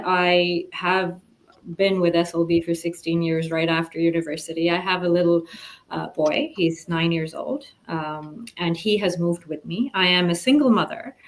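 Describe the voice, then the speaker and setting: A woman in her forties from the Emirates answers an online interview in an even, measured way. She fills her pauses with soft ums, and her voice sits a little low. It sounds like a video call. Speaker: interview guest.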